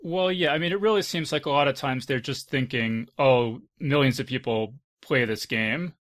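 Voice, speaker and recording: A man's voice: mid-range voice, moderate pace, fairly bright tone. A man hosting a casual discussion in a conversational way. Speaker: host.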